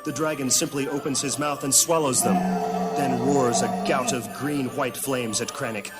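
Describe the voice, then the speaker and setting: A man's voice: medium pitch, fairly even intonation, moderate pace, over loud music, natural voice. A man performing as a grave storyteller, giving dramatic scripted narration of a fantasy audio drama.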